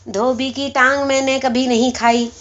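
A woman voicing a feeble, foolish old male clerk who is hard of hearing, slowly querying and repeating things in a story. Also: very high voice, flat delivery, a bit bright, muffled recording, pitched above the performer's own voice. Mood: eager, confused. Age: elderly. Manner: slow-witted, querying and repeating what was heard.

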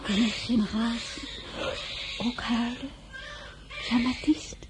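An actress in a radio drama playing a tender, frank young wife and new mother, with a soft, emotional delivery. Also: a little high, steady tone, takes it slowly, heavy background noise. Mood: moved, tearful.

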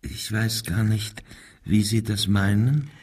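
An actor playing an evasive, bigoted, self-pitying murderer making a halting, defensive confession in a German radio crime drama. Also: male voice, fairly low pitch, steady tone, a little mellow, breathy edge. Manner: halting, defensive, wavering between muted and agitated.

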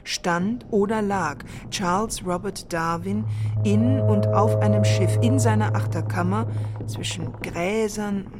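A woman's voice: medium pitch, slow pace. A woman narrating a literary text in a scripted radio play. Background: music.

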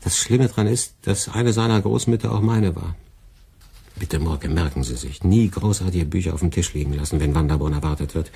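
A sardonic, lecturing boss. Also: male voice, low voice, a little fast, gravelly, mellow, husky, exaggerated voice. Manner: dry, lecturing, ironic. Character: sardonic middle-aged male boss, lecturing. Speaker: department head.

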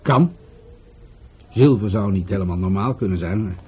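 An older police commissioner in a radio drama, sceptical and used to command. His voice is dark and husky, and he questions others in a deliberate, authoritative way. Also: on the low side. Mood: measured, sceptical. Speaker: actor in a radio play. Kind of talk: Dutch radio play acting.

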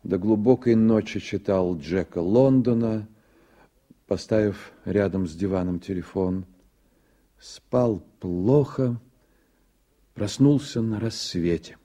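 A man narrating a literary radio drama in a measured, reflective manner. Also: lowish voice, mellow.

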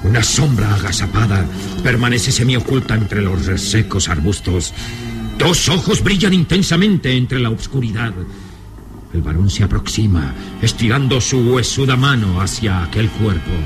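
A man narrating a gothic adventure radio serial in a solemn, suspenseful manner with deliberate phrasing. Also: fairly low pitch, animated, lightly acted. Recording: old radio serial with dramatic music. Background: dramatic music.

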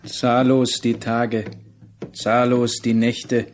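A man's voice: mid-range voice, completely flat delivery, very slow, slight background noise. A man narrating a radio drama as one of a chorus, solemn and incantatory, in poetic fragments.

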